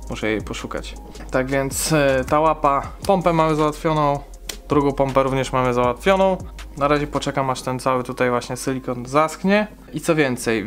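A man's voice: mid-range voice, fairly bright tone. A young man in his twenties explaining a car engine repair step by step in a casual, chatty way, with frequent fillers.

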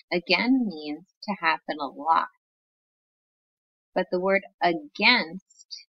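A woman's voice: low voice, lo-fi sound. A woman teacher reading a picture book aloud to young children, patiently sounding out words.

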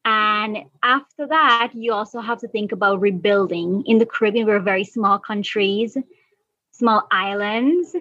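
A young woman answers conversationally as an interview guest. Her voice sits at a medium pitch. The recording is dry and a little dull, like a remote call. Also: a bit bright, dry recording. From Guyana. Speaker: podcast guest, environmental activist.

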